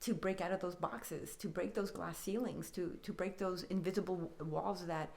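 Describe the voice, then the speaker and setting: A woman's voice: on the low side, fairly even intonation, moderate pace, creaky, darkish tone. A woman guest on a podcast, talking earnestly and thoughtfully about faith and identity, building her points in lists. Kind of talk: reflective faith-based conversation.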